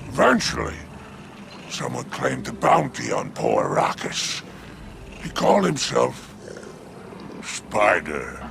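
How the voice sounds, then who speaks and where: An actor voicing a smug, menacing alien crime boss in a video game, drawling and insinuating in a gravelly growl. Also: male voice, rumbling low, huge pitch swings, takes it slowly, pauses often, noisy background, exaggerated voice. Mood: sly, menacing. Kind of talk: video game cutscene monologue.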